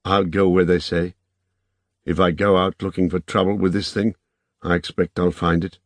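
A stoic young man from a story, read by a male narrator. He talks low and plainly, quiet and unassuming, in a dry recording. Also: level tone, moderate pace, dry recording. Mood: resolute.

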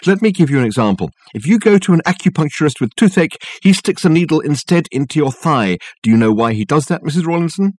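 Man acting a pompous, glib detective, raised pitch. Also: animated, fast talker, hardly any pauses, a little mellow, dry recording, studio quality. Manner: pompous, fluent salesman patter. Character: testy, grandiose male private detective, glib and pompous.

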